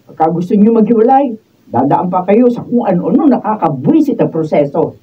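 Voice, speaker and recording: An actress playing a cynical older mother with a husky voice, blunt and dismissive, in a Filipino radio drama. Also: mid-range voice, very animated, a little fast. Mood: exasperated, dismissive. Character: nagging, cynical older mother, scornful of weddings. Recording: distant, thin phone-like capture.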